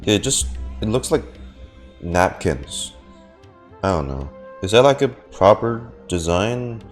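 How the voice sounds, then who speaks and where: Deep-voiced man streaming a game. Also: expressive, slow pace. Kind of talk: casual live reaction commentary, reading dialogue aloud. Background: game music.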